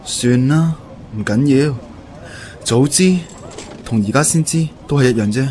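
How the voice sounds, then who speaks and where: A man's voice: mid-range voice, unhurried, darkish tone, slight background noise, close to an everyday voice. A man playing an earnest, emotional young man in a radio drama, speaking with a Hong Kong Cantonese accent. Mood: resigned. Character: earnest, regretful young man confronting his love.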